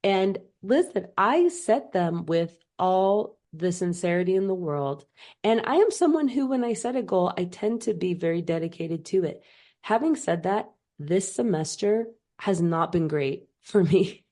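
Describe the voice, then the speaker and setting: A woman in her late thirties co-hosts a podcast over a video call. Her voice sits somewhat low and is still rough from a recent cold. She rambles cheerfully, with "like" scattered all through her talk. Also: animated, unhurried, dark tone. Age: middle-aged. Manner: chatty, lots of "like", rambling storytelling. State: recovering from a cold, voice not fully back. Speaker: podcast co-host. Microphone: remote call mic.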